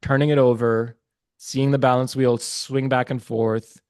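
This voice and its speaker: A man's voice: medium pitch, little pitch movement, a little slow, a little mellow, clean tone, no echo, clean studio sound. A man from Pakistan whose first language is Urdu, a podcast guest talking casually and earnestly, with many fillers and restarts. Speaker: guest, watch market research business developer. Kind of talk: casual interview chat.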